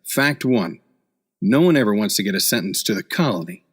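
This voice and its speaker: A man's voice: on the low side, animated, a little fast, slightly rough. A man acting a hard-boiled, wisecracking seasoned newspaper reporter in a noir radio play, with clipped, cynical tough-guy slang. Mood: driven, cynical.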